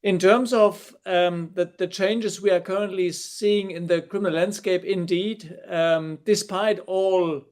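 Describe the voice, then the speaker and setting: A man's voice: high-pitched, fairly even intonation, measured pace, hardly any pauses, a little mellow. A man giving a formal, courteous answer as a panelist joining remotely. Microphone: remote video call.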